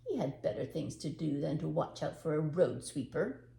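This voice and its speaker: An older woman reads as a storyteller with expressive storybook narration. Her voice is warm, mellow and slightly low, recorded in a roomy, echoey space. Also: normal speed, gravelly.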